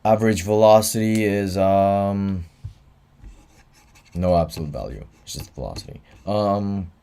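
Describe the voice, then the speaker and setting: Young guy, super low voice, tired, reviewing for an exam on stream, kinda offhand. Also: unhurried, gravelly, mellow, clean tone. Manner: tired, offhand, reads chat aloud. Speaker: student tutor.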